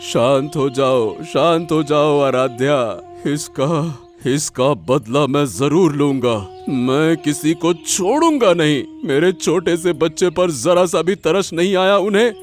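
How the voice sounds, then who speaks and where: A man's voice: a little high, slow, hardly any pauses, faint music behind. A man voicing a desperate, grieving village father in a melodramatic cartoon story, with an overwrought delivery that repeats words. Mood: vengeful.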